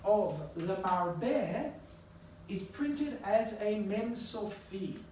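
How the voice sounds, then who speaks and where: A middle-aged man teaches a class in a relaxed, storytelling way, turning now and then to speak directly to his students. He is recorded from across the room, so his voice bounces around a very echoey classroom with a faint hiss underneath. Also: high voice, very slow, recorded from a distance. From Australia. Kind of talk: conversational classroom lecture. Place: classroom with a live audience.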